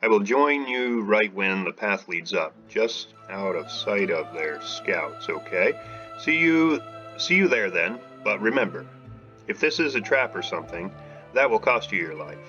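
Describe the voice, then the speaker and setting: A man's voice: lowish voice, lively intonation, measured pace, bright, forceful tone. A man reading as a blunt vengeful rogue. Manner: reads dialogue aloud in a plain voice. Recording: dull lo-fi audio with faint music.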